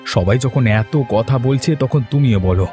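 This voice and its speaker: Male actor as earnest wronged son-in-law, cartoon drama; dark mellow voice, faint music. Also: medium pitch, moderate pace, close to an everyday voice. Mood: bitter, glum. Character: honest, aggrieved young village son-in-law. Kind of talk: dramatic cartoon story dialogue.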